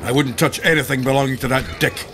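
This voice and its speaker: An actor playing a boastful, crude, grumbling dwarf warrior in a video game. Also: male voice, mid-range voice, steady tone, fast, close to an everyday voice. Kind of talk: video game character acting. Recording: clear outdoor ambience noise behind.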